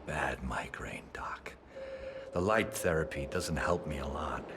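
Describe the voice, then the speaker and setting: A man's voice: rumbling low, measured pace, background noise. A man playing a blunt, impatient, ailing male crime boss in a video game cutscene. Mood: sick, complaining. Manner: blunt, foul-mouthed, complaining.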